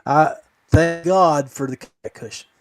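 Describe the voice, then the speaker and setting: An American man chatting casually as a podcast co-host, haltingly, repeating words as he goes. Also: a little high, no echo, studio quality, faint music behind. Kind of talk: casual chat.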